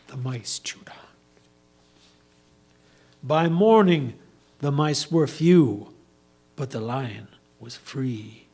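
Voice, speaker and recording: A man reading a story aloud as a performer, with measured, theatrical emphasis. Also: mid-range voice, a little mellow, breathy edge.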